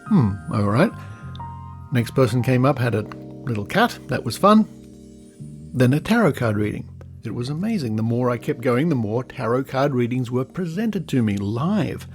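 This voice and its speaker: A man's voice: mid-range voice, very animated, normal speed, faint music behind. A man with an Australian accent hosts a podcast in an informal, conversational style. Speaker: podcast host.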